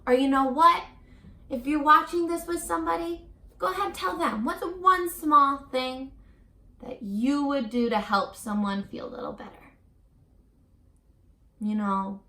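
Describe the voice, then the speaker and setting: A woman reads aloud to young children in a high, unhurried voice with some creak at the edges. She is gentle and encouraging, acting out the book and turning to the listener with questions, like a teacher with a class. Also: echoey room.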